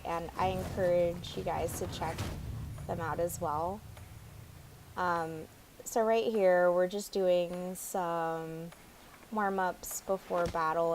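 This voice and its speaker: A woman with a raspy, sore throat from allergies, chatting casually in a home voice-over about a video game. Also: lowish voice, noisy background. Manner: chatty, easygoing, a bit quiet. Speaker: gaming YouTuber. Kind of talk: casual gameplay voice-over commentary. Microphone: home voice-over mic. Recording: voice-over with game music behind.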